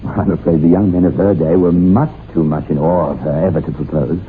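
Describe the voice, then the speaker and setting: A man's voice: low-pitched, fairly even intonation, a little fast, close to an everyday voice. A man playing an earnest, insistent young husband in an old radio horror drama, theatrical and emphatic. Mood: affable, affectionate. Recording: tinny, noisy old radio recording.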